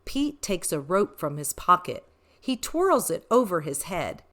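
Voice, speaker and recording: A woman narrates a children's story with a very lively, swooping delivery. She takes her time over short, emphatic phrases in a slightly low voice. There is clear noise in the recording. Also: measured pace. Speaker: read-aloud storyteller for children. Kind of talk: expressive children's picture book narration.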